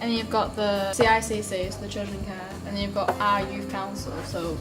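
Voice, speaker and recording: A woman's voice: mid-range voice, little pitch movement, a little fast, poor audio. A teenage girl from Malaysia chatting in an offhand way in a group interview. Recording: music playing under the voice.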